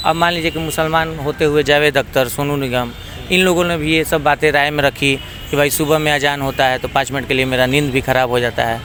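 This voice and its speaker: A man's voice: a little high, fairly even intonation, fairly quick, fairly bright tone. A man explaining his opinion at length in a street interview, outdoors. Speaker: member of the public being interviewed. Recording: noisy outdoor street with traffic.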